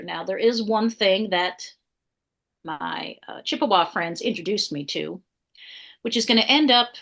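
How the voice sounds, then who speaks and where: A woman's voice: lowish voice, gravelly, fairly bright tone, heavily acted. A woman portraying a spirited, tomboyish Southern lady spy from the 1800s with a Southern accent, telling her life story in a chatty, playful way. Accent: American Southern. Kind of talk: living history portrayal in an interview.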